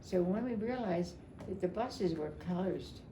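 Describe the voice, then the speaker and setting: Older woman, slightly low voice, chatty and halting personal storyteller, recorded with clear background noise. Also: expressive, echoey room. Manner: halting, repeats phrases, chatty. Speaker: storyteller at a family memorial. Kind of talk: casual personal storytelling to a gathering.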